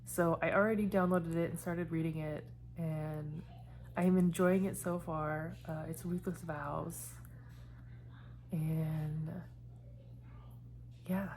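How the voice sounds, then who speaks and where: Chatty woman with a lowish voice. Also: fairly even intonation, slow pace, long pauses, darkish tone, slight background noise. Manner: chatty and meandering, trailing off with fillers. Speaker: book vlogger. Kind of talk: casual chat.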